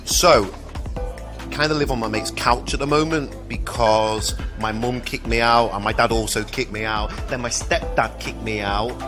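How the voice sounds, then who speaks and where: A man's voice: medium pitch, steady tone, normal speed, natural voice. A young man acting an awkward, breezily unbothered oversharer who rambles in a live-action game scene. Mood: awkward, nonchalant. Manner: rambling, repetitive filler-laden talk. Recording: music playing behind.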